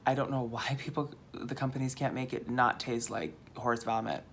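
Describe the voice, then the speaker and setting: A man from Minnesota with a fairly high-pitched, slightly hoarse voice, telling a rambling, self-deprecating story on his vlog. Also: steady tone, normal speed, a bit bright, slight background noise. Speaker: vlogger.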